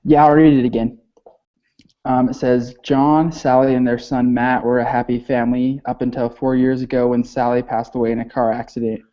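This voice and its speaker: Brief: young man, mellow voice, lo-fi call audio, relaxed short answers. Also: mid-range voice, normal speed. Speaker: student group member. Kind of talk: casual video call meeting. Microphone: computer mic on a video call.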